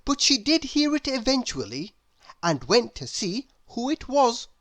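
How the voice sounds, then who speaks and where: A woman narrating a children's storybook aloud in a deliberate, expressive storytelling manner. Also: fairly high pitch.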